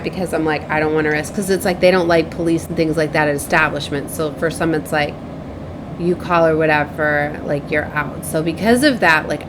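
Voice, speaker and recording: A woman with a lowish voice talks casually, as in a personal spiritual vlog. She rambles and repeats herself, tagging phrases with little checks for agreement. Clear ambient noise with a vehicle-like rumble runs behind her. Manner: rambling, repetitive, frequently seeks agreement with tag questions. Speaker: spiritual advisor and tarot reader, host.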